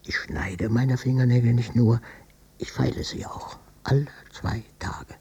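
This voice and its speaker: An actor playing a gruff, stubborn old father and war veteran in a German radio drama, with a husky, gravelly voice and a curt, defensive manner. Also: medium pitch, unhurried, a little mellow. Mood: pedantic, fussy.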